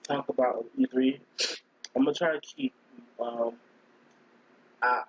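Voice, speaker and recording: A man with a cold, hoarse and scratchy, chatting casually on a live stream and rambling as he keeps clearing his throat. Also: medium pitch, steady tone, unhurried, highs cut off. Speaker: gaming streamer.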